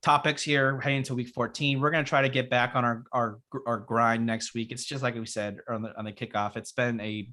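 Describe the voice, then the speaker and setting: A young man co-hosting a casual fantasy football show, his voice raspy from being sick, talking in a relaxed, rambling way with many fillers. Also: mid-range voice, fairly quick, few pauses. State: raspy after illness. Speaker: podcast co-host. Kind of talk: casual sports chat.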